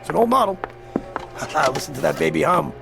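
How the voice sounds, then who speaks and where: A creaky old devil in newspaperman's guise for a radio play. The voice is rather high and swoops with theatrical relish. He is courtly, teasing and very pleased with himself, with music behind him. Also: animated, fairly quick. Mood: gleeful, boastful. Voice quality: creaky, gravelly. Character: sly, boastful devil posing as a genial old newspaperman. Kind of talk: radio drama acting.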